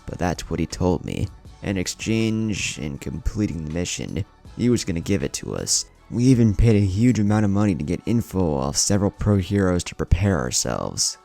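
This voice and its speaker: A man voicing a slangy, boastful villain gang leader who gets frustrated in an animated-style story. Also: sits low, gravelly, heavily acted.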